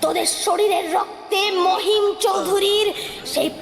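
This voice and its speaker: A very high, gravelly, accusing ghost bride swears revenge with an ancient, menacing wrath. The voice rings in a long, hall-like echo, with music underneath. It belongs to a horror radio drama. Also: female voice, steady tone, measured pace, heavily acted. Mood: vengeful.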